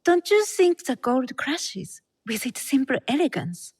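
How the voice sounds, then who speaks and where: A woman's voice: high voice, very animated, a little slow, darkish tone, breathy edge, full character voice. A woman playing a refined, formal guest in a video game cutscene, speaking in a polished way. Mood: formal, polite.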